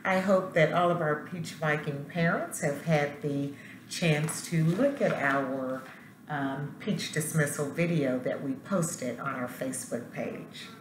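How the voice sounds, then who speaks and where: A woman principal reading a storybook aloud to young children, expressive and speaking to them directly. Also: low voice, takes it slowly. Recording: roomy echo, light background noise.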